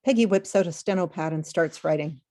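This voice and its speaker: A woman's voice: lowish voice, fairly quick, darkish tone. A woman narrator reading scripted stage directions at a table read.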